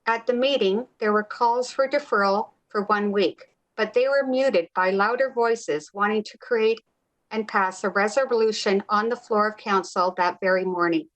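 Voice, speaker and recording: A woman's voice: mid-range voice, normal speed, poor audio. Woman delivering a formal council statement. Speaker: city councillor. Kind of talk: formal prepared council speech.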